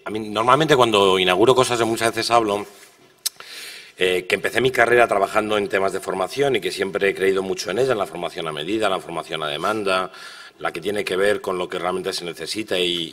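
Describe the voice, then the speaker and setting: In a hall with long reverb, a man with a Castilian Spanish accent gives an opening conference speech. His voice is fairly low and slightly hoarse, and he builds his points discursively with emphatic repeated lists. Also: a bit bright. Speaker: official opening the event.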